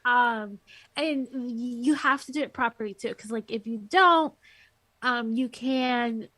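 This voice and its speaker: A woman, interview guest and music teacher, with a soft, light, sweet speaking voice, chatting casually with lots of fillers over a call. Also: fairly high pitch, a little slow, dry recording, poor audio. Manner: rambling, filler-heavy, giggly. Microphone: headphones on a call.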